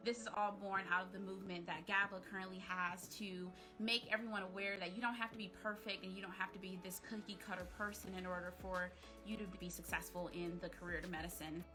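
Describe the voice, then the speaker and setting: A woman giving a show's introduction in a steady, even delivery. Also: fairly low pitch. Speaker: show host, intro. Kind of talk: scripted show introduction. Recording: music playing behind. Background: background music.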